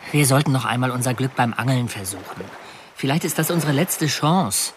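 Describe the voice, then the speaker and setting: A man's voice: mid-range voice, lively intonation, moderate pace, a little hiss or hum, lightly acted. An actor playing a touchy, dreamy young male criminal in a radio drama.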